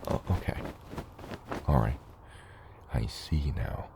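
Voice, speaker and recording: An extremely low, gravelly dragon father, acted by a man, mentors a hatchling in an ASMR roleplay. He takes his time, patient and gently teasing, with some whispery edges. Clear ambient noise sits behind the voice. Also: exaggerated voice. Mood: hesitant, gently critical. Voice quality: very deep, gravelly, partly whispery. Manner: slow, measured mentoring with hesitant asides.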